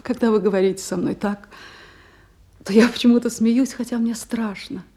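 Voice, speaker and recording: An actress playing an uneasy, superstitious married woman yielding to forbidden love in a stage drama, hushed and breathy. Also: on the high side, steady tone, moderate pace. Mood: nervous, yielding. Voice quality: husky, breathy. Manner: hushed, breathy half-whispers. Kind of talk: Russian stage drama acting.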